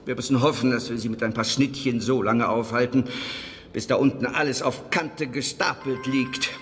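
A curt, officious site manager played by a man speaks in a radio crime drama. His voice sits at a middle pitch and is businesslike. It rings in a long hall reverb with faint noise behind it. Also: steady tone, moderate pace, a bit bright, lightly acted. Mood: stressed, curt. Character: brusque, officious male site security manager. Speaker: supporting character.

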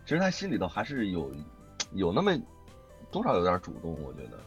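Animated, lowish-voiced man in interview. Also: quick pace, lo-fi sound, soft background music. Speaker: voice actor guest. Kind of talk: casual interview chat.

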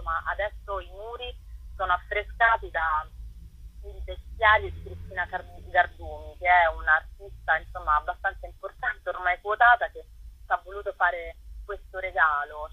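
A woman theatre director talking enthusiastically about her theatre in an interview, pausing often with fillers. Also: fairly low pitch, breathy edge, no room sound, dull audio. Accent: Roman Italian.

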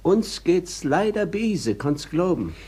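An actor playing a poor, troubled old weaver in a radio drama, hesitant and repeating words in Silesian German dialect. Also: male voice, high voice, sing-song, slow pace, background noise, heavily acted. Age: older.

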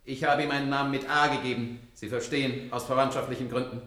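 Mann spielt flinker, unerschütterlicher Roboter mit Hall. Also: male voice, mid-range voice, steady tone, fast talker, fairly bright tone, close to an everyday voice. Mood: calm, matter-of-fact. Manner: polite, unflappable, matter-of-fact. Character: self-replicating household robot with programmed motherly instinct, unflappable. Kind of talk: German radio play acting. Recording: roomy echo on the voice.

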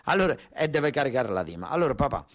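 A man's voice: mid-range voice, very animated, quick pace. A man with a southern Italian accent performing a theatrical, colloquial monologue, emphatic and insistently repeating words as he explains. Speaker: solo stage actor and storyteller.

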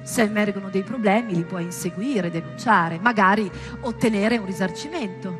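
A woman delivering a theatrical monologue as a stage performer, in a dramatic, deliberate and emphatic manner. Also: mid-range voice, music in the background.

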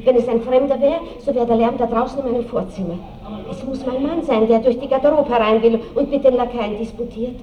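A woman's voice: a little high, fairly quick, lightly acted. An actress playing an aristocratic married lady in a radio play, tender, teasing and commanding toward her lover, refined and theatrical. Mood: alarmed, urgent. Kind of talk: mid-century German radio play acting. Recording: echoey, muffled old radio recording with hiss.

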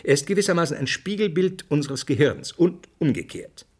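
An actor playing an earnest, didactic scientist being interviewed in a radio drama. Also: male voice, fairly high pitch, lively intonation, normal speed, husky. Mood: dismissive. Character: earnest male scientist, explaining theory, brusquely dismissive of speculation.

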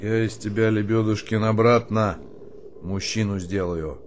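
An actor playing a middle-aged army colonel in a radio drama, authoritative and sardonic, moving between muttering and barking orders. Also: male voice, fairly low pitch, fairly even intonation, unhurried, slight background noise, close to an everyday voice. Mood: resolute, commanding. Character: male army colonel, weary commanding unit officer.

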